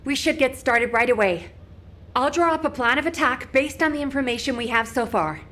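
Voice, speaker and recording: A woman's voice: high voice, brisk, fairly bright tone. A woman voicing an earnest, devoted young officer who speaks with emotion. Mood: determined. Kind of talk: game cutscene dialogue.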